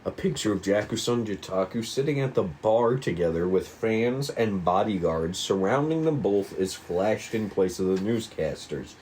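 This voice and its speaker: A man's voice: lowish voice, expressive, normal speed, hardly any pauses, darkish tone. A man narrating a table read, reading stage directions aloud on a slightly noisy recording.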